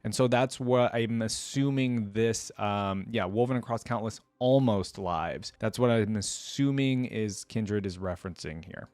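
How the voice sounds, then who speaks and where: A man's voice: fairly low pitch, measured pace, hardly any pauses, a little mellow, clean tone, dry recording. A man talking casually as a reaction video host, rambling conversationally to viewers with frequent hedging asides. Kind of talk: casual unscripted game reaction commentary to viewers.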